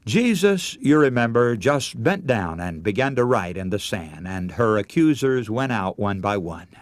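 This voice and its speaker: A man's voice: fairly low pitch, very animated, a little slow, no room sound. An older man preaching a radio sermon, telling stories in an earnest way and calling his listeners friends.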